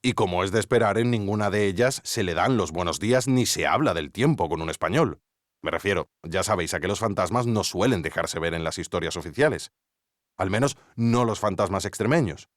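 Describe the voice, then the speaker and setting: A man's voice: sits low, lively intonation, brisk, no echo, studio quality. An actor from Spain playing a wry, curious male investigator narrating a podcast, with ironic conversational asides to the listener. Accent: Spanish from Spain. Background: music.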